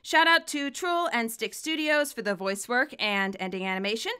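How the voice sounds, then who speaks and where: A woman reading her own fan fiction aloud as expressive, scripted story narration. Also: a little high, normal speed, clean tone.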